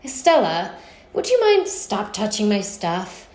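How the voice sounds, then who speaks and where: A woman's voice: medium pitch, wildly swooping pitch, unhurried, darkish tone. Woman playing a sarcastic, irritated office worker, echoey. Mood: annoyed. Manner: sarcastic, pointed. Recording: roomy, echoing space.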